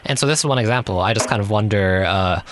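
A man talking as a guest in a radio studio. His voice is somewhat low, and he speaks quickly. He gives amused, musing commentary on the news, full of fillers, with a faint background hum.

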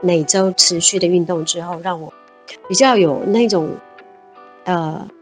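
A woman's voice: on the low side, unhurried, soft background music. A woman, talking reflectively as an interview guest and laughing easily. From Taiwan. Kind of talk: casual interview chat.

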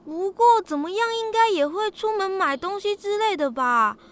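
A nosy neighbor woman in an audio drama. Her voice sits very high. She fishes for gossip with question after question. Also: expressive, moderate pace. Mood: curious. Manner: inquisitive, gossipy. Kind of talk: radio drama acting.

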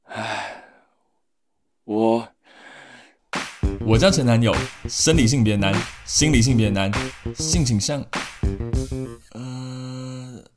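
An actor playing a hesitant male confidant in a radio drama, speaking in a halting, deadpan, awkward way. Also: medium pitch, music in the background, natural voice. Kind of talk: Mandarin radio drama acting.

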